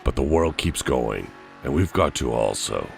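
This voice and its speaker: Man acting very deep, gravelly gruff warrior. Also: lively intonation, unhurried, slight background noise, full character voice. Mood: gruff sympathy. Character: big gruff warrior, blunt but well-meaning. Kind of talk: audio drama acting.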